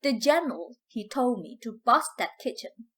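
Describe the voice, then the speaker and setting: A woman's voice: a little high, big pitch swings, normal speed, husky. A woman playing an older former slave from the American South, proud, fiery and grieving, telling her story in folksy dialect. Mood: reminiscing. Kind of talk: dramatic first-person storytelling in dialect.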